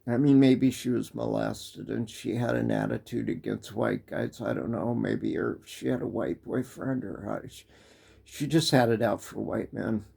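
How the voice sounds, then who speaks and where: An American man telling a personal story in a casual, halting way, full of restarts and fillers. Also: lowish voice, vocal fry, dark tone, husky. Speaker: vlogger. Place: living in Japan.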